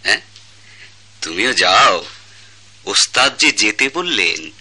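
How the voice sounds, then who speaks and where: A teasing musician who mocks his rival in a radio play, Bangladeshi accent. The middle-pitched voice is piercingly bright and takes its time, savouring each jibe theatrically. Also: big pitch swings, exaggerated voice. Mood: mocking, amused. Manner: teasing, theatrical, drawn-out phrasing. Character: mocking, jovial male musician who needles his rival. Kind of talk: radio drama acting.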